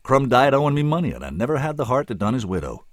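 A male narrator acting a gruff, calm barkeeper in an audiobook. He talks quietly and wryly at a middle pitch, never rushing. The recording is close and dry. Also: dry recording, natural voice. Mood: matter-of-fact.

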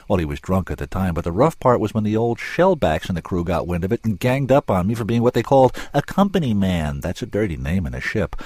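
A man acting an angry, driven inventor, animated swings. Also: medium pitch, dry recording. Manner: emphatic, impassioned, barking. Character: angry, driven male inventor, blunt and defiant.

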